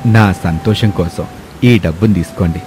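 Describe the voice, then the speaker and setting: Man acting wealthy gentleman, very deep dark voice, courteous, generous, storybook dialogue. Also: moderate pace, soft background music, close to an everyday voice. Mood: grateful. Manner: courteous, reassuring. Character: polite, grateful, generous wealthy businessman.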